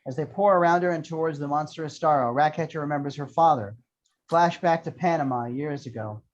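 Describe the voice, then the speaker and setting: Higher-pitched man narrating action, dull recording. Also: a little fast. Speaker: reader of screenplay action lines. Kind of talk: scripted narration at an amateur table read. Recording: dull lo-fi sound.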